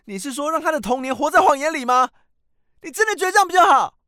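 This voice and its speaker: A high, sharp-voiced defiant boy. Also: expressive, fast talker, no room sound, clean studio sound. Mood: angry, hurt. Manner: accusatory, impassioned. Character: indignant young son, defiant and hurt. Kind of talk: radio drama acting.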